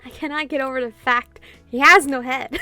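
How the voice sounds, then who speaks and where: A woman doing casual, expressive gameplay commentary, reading visual novel dialogue aloud and adding sweary asides. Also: high voice, normal speed. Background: game music.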